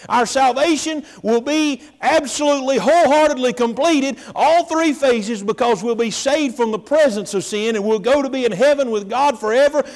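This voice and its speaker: A man preaching a sermon as pastor to his congregation, posing questions and calling for amens. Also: sits high. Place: church sanctuary. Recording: long reverb of a large hall.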